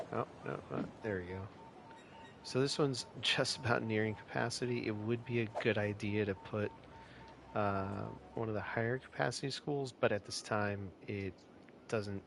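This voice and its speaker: Gravelly low-voiced man streaming a game. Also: background noise. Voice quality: a bit nasal, stuffed-up. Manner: relaxed, rambling, many fillers and restarts. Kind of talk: casual live-stream commentary.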